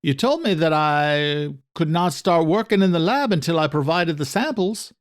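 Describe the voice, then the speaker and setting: A man's voice: a little high, lively intonation, normal speed, voice pushed up, natural voice. A man voicing an anxious, over-eager, polite young man in an audio drama, a little breathless. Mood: pressing, eager.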